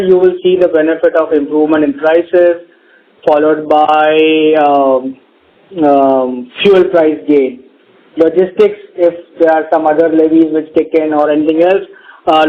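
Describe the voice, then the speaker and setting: Casting: Indian male executive on an earnings call, higher-than-average pitch, measured and halting delivery, dull audio. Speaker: company executive answering analysts. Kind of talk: question-and-answer on an earnings call. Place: conference call. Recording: muffled conference audio with faint noise.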